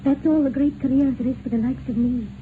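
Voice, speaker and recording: High-pitched housemaid played by a woman, 1950s radio play, excitable and gossipy, very lively intonation, noisy vintage sound. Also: quick pace, narrow, tinny audio, lightly acted. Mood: chatty, wistful. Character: chatty, emotional working-class housemaid, landlady's daughter. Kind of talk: 1950s radio drama acting.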